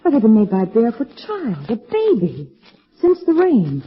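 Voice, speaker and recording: An actress playing an anxious, excitable, well-spoken lady companion in a 1940s-style radio thriller, dramatic in delivery. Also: a little high, moderate pace. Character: nervous, excitable refined young lady, sleuth's companion. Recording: dull old radio recording.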